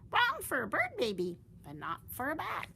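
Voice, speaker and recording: A woman voicing a friendly, matter-of-fact grown-up bat with a very high, emphatic voice. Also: huge pitch swings, normal speed, gravelly, sharp tone, a little hiss or hum, exaggerated voice. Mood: curious.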